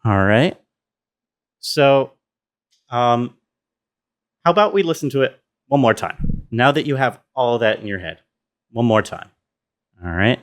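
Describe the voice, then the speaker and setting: A man's voice: mid-range voice, animated, unhurried, long pauses. A man giving an informal, rambling walkthrough of his own sound design work, thinking aloud as he goes. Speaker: sound designer presenting behind-the-scenes video.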